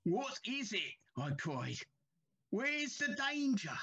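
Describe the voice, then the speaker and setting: A man's voice: very high-pitched, sing-song, slow pace, sharp tone, slightly rough, full character voice. An actor playing a haunted, troubled railway signalman in a period audio drama, telling his tale with grave urgency. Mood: desperate.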